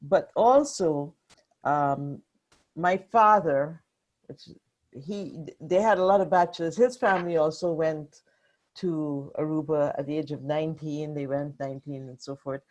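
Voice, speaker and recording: A woman on a family video call, telling family history in a slow, halting way. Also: low voice.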